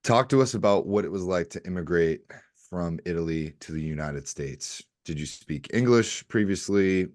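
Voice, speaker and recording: Very deep-voiced upbeat podcast host. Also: male voice, normal speed, a little mellow, dry recording, studio quality. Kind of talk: podcast hosting.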